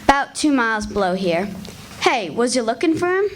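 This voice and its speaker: A woman plays a gossipy country kid in old-time radio theatre. The voice is fairly high and lively, with faint noise behind. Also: normal speed, poor audio. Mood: matter-of-fact, curious.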